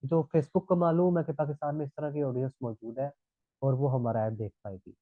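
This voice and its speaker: A man's voice: medium pitch, fairly even intonation, fairly quick, no room sound. A man teaches a live online class while nursing a sore throat. He walks through each point and keeps checking in with his students. His voice comes over a tinny, phone-like line that sounds dry and close. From Pakistan. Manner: explanatory, repeats "thik hai" checks.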